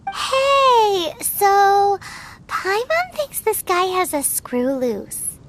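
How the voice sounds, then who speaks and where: A woman voicing a tiny, childlike floating fairy companion in a video game, blunt, excitable and cheeky, with a squeaky voice. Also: extremely slow, exaggerated voice. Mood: indignant. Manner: refers to self in third person, exclaiming. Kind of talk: video game character acting. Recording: music behind the voice.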